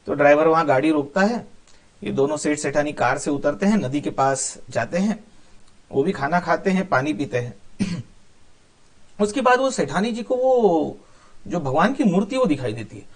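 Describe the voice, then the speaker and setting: A man's voice: fairly high pitch, expressive, moderate pace. A man telling a moral story informally, explaining as he goes with conversational asides and some English words mixed in. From India.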